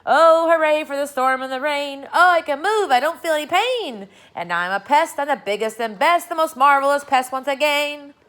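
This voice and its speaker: Brief: a woman playing a cocky centipede, high and loud, bursting with glee, storybook. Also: moderate pace, hardly any pauses. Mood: gleeful. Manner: excited, shrill, sing-song. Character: boastful excitable male centipede.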